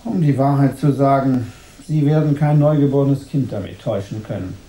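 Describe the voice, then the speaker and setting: An actor playing a blunt, dry shopkeeper in a radio drama, speaking deliberately in an echoey room. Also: male voice, mid-range voice, warm tone, close to an everyday voice. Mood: dry, reluctant.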